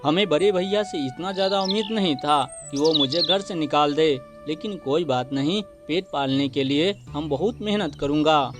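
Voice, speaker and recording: High-voiced humble younger brother played by a man. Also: normal speed, few pauses, music in the background, lightly acted. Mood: resigned, determined. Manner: earnest, polite. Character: humble, honest, hard-working poor younger brother in fable. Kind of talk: animated moral story dialogue.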